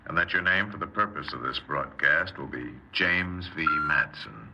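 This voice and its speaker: Very deep-voiced, calm interrogator. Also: male voice, moderate pace, creaky, faint music behind, full character voice. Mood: calm, probing. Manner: understated, official. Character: calm, methodical male police interrogator taking a confession. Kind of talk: 1950s radio drama acting. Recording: muffled, very noisy old radio recording.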